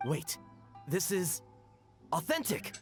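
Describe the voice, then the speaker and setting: A man's voice: high-pitched, big pitch swings, slow pace, slightly rough, exaggerated voice. A man voicing a proud, rule-bound palace guard in a video game, formal and pompous. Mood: surprised. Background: game background music.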